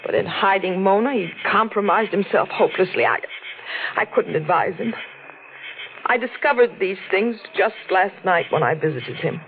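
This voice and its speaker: A woman acting an intense, troubled, secretive young woman in a 1940s radio melodrama, with deliberate, dramatic phrasing. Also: medium pitch, animated, lightly acted. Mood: somber recounting. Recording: faintly noisy, narrow-band old radio recording.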